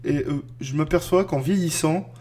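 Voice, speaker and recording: Thirties guy on a podcast, kinda high voice, rambles a lot, um and uh everywhere. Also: normal speed, a little mellow. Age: 30s. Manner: rambling, many fillers and restarts. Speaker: podcast co-host. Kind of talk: casual roundtable chat.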